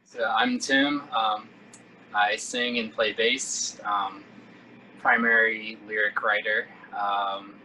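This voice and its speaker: A man from Virginia, singer and bassist of a band, answering interview questions in an easygoing way. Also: flat delivery, slow, bright, forceful tone, a little hiss or hum, rough recording. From Virginia, USA. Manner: easygoing, laughs often.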